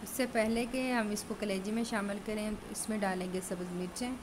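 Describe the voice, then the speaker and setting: A woman's voice: mid-range voice, steady tone, brisk. Chatty home cook woman, noisy kitchen. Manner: chatty, explains steps while cooking. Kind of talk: cooking tutorial narration.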